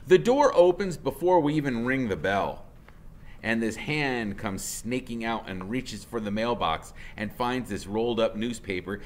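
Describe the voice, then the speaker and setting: A man narrating a first-person story aloud in an expressive, dramatic way. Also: fairly low pitch. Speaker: audiobook reader, teacher.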